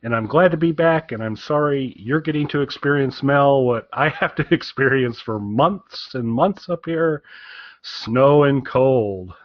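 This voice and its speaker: A man's voice: mid-range voice, measured pace, no echo, highs cut off. A man co-hosting a podcast, chatting casually in an easygoing, joking way. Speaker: podcast co-host.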